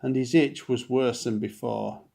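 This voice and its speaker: A man reads a children's story aloud in the style of a dad with a picture book. His phrasing is expressive and deliberate, and his voice carries a noticeable creak. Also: medium pitch.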